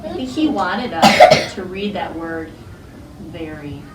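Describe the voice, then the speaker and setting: Female early-years teacher, interactive read-aloud delivery, prompting listeners with questions; distant mic, loud noise behind her. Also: fairly low pitch, very animated, takes it slowly, echoey room. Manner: instructive, questioning, repeats children's answers back. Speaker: teacher of young children. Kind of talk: interactive read-aloud lesson to young children. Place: classroom with children.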